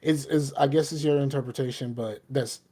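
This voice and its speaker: A man's voice: medium pitch, fairly even intonation, brisk, dark tone. A middle-aged man from the American South with a Southern accent, chatting casually as a podcast co-host and rambling with lots of "you know what I mean". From Southern United States. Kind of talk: casual chat.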